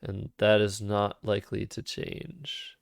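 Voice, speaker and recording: A man streaming a word game who thinks aloud about his moves in a calm, soothing, soft-spoken way, recorded on a cheap microphone. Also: on the low side, takes it slowly, dry recording, studio quality. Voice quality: vocal fry. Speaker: Scrabble streamer. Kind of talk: live-streamed game commentary.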